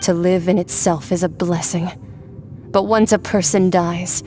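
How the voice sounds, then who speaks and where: A woman playing an aloof, blunt young warrior from another world in a video game cutscene, deadpan and detached. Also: on the low side, a little slow, slight background noise, natural voice. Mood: calm, grave. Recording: background music under the voice.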